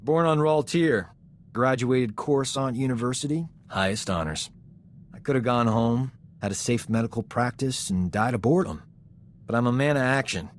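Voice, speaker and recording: An actor playing a cocky, flirtatious combat medic in a science fiction video game, with a breezy, boastful, wisecracking delivery. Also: male voice, mid-range voice, moderate pace, a little hiss or hum, lightly acted. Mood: boastful, wry. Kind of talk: video game voice acting, character dialogue.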